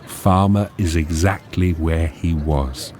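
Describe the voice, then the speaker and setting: An actor as a measured, deliberate narrator reading screen directions in a radio drama. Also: male voice, sits low, a little mellow. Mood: measured, suspenseful. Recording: music behind the narration.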